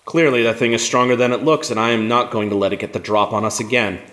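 An actor playing a stern, battle-hardened rebel commander in an audio drama, measured and commanding, with simmering anger held under control. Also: male voice, mid-range voice, steady tone, fairly bright tone, lightly acted. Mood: impassioned, intense.

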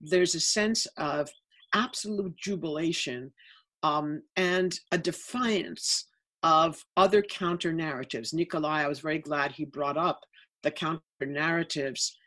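An American woman speaking as a panelist in an online discussion, emphatic and lively about what she saw firsthand. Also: low-pitched, a little slow. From United States.

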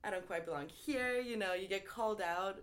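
A woman's voice: mid-range voice, fairly quick. A young woman from Iran, a guest in a casual interview, talking thoughtfully with frequent "um" and "like" and easy laughter. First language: Farsi. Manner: thoughtful, frequent ums and likes, laughs easily.

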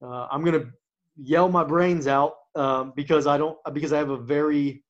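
Soft-spoken man, halting sports chat. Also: a little high, steady tone. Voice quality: naturally quiet voice that does not carry. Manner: halting, many restarts and fillers. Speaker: coach and podcast co-host. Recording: dull lo-fi sound.

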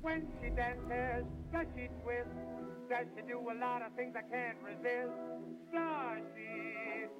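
Very high, slow male singer. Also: lo-fi sound. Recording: echoey, with faint music.

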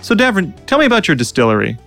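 A man hosting a podcast interview, upbeat and quick with follow-up questions, chuckling often. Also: high-pitched, wildly swooping pitch, creaky, fairly bright tone, faint music behind. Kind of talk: casual interview chat.

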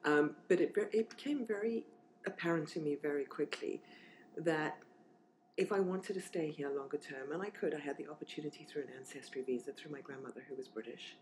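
A woman's voice: deep voice, a little fast, slight background noise, low-quality recording. A woman in her forties from southern Africa, talking casually and reflectively as an interview guest, with plenty of fillers and restarts. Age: 40s.

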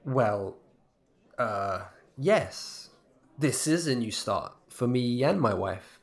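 A man's voice: mid-range voice, lively intonation, takes it slowly, creaky. An actor playing a polite, curious semi-retired man new to a village, hesitant and inquisitive, in audio drama dialogue.

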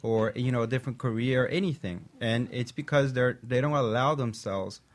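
A man who is a talk show guest, giving an animated personal testimony and briefly acting out discouraging voices. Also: mid-range voice, moderate pace. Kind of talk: motivational testimony.

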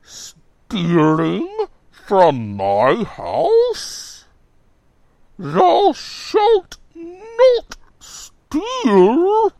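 A towering, wrathful zealot father, roaring out his damnations in a slow, gravelly drawl. His pitch swings wildly as each word is dragged out and thrown like a sermon of hate. It is dry and close, with nothing of the room around it. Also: wildly swooping pitch, mellow, no room sound, heavily acted. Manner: slow, drawn-out preacher-like bellowing.

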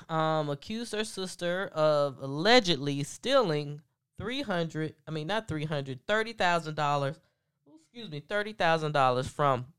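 Female gossip host: deep, congested voice, very smooth and resonant, chatty and frank. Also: a bit bright. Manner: chatty and frank, many ums and asides to listeners. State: stuffed-up nose. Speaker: podcast host. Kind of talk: casual celebrity gossip commentary.

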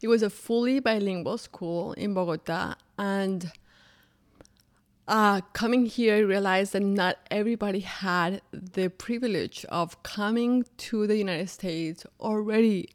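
A woman from Colombia, a native Spanish speaker, talking as a guest in an interview in an unhurried, thoughtful way with soft fillers. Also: mid-range voice. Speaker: podcast guest, business founder.

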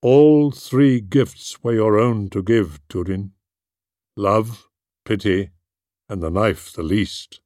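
A man voicing a proud, grave warrior lord speaking kindly to his son in an audiobook, measured and solemn. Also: fairly low pitch, a little mellow, dry recording, clean studio sound. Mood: firm, kindly. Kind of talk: audiobook character voice.

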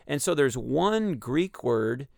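A man with a Texas accent explaining a Bible passage in a measured, teaching way on a radio discussion. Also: mid-range voice, sing-song, vocal fry, darkish tone, no echo, studio quality. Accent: Texan. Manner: measured, explanatory, with frequent ums and uhs.

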